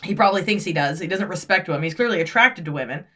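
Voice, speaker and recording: A woman in her thirties co-hosting a podcast, chatting in a blunt, brash way with plenty of swearing and quick laughs. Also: fairly low pitch, fairly bright tone. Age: 30s. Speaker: podcast co-host. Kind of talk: casual chat.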